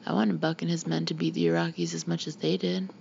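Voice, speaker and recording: Woman reading an audiobook memoir, lowish gravelly voice, kinda muffled recording w some background noise. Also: flat delivery, quick pace, darkish tone. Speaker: audiobook reader. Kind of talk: reading a memoir aloud, scripted narration.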